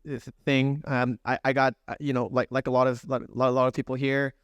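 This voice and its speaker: A man on a conference panel speaking in a halting way, with many restarts. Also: medium pitch, flat delivery, clean tone, no room sound, dull audio. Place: conference stage.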